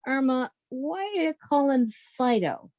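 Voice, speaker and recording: A woman's voice: sits high, animated, unhurried, a little mellow, lo-fi sound. A woman playing a sensible, wisecracking, exasperated young roommate in a 1940s-style radio comedy. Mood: firm, exasperated.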